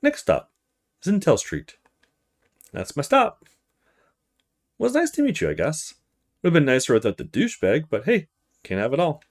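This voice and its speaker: A man's voice: on the high side, huge pitch swings, moderate pace, long pauses, heavily acted. A man voicing a feisty, guarded young female university student, blunt and defiant, in a visual novel read-aloud. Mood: casual.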